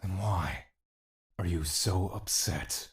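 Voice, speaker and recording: A very low, deep-voiced spirit that needles and mocks the man it is trapped inside, as an actor plays it. Its tone stays cold and sarcastic in this audio drama, with a slow creeping scorn behind each jab. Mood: taunting.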